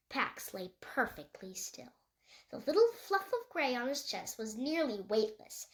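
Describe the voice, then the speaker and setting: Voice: high-pitched, bright, forceful tone. A young reader, a child or young teen, narrating a story read aloud from a book. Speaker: audiobook reader.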